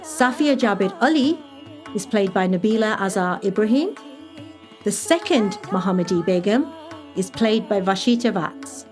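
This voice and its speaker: A woman's voice: medium pitch, lively intonation, moderate pace, darkish tone. A woman reading scripted closing credits as an announcer. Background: faint sitar music.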